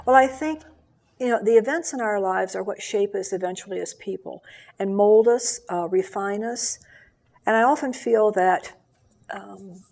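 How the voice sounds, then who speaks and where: Older woman, husky timbre, earnest reflective interview guest. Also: mid-range voice, a little mellow. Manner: earnest, measured, with frequent fillers and restarts. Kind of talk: reflective personal storytelling. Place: local TV studio.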